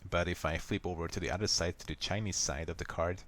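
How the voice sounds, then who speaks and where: A man with a Hungarian accent, a native Hungarian speaker, calmly walking viewers step by step through a software fix in a screen recording. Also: low voice. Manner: unhurried, plain, step-by-step explaining. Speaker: software tutorial maker. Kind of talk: screen-recorded how-to walkthrough.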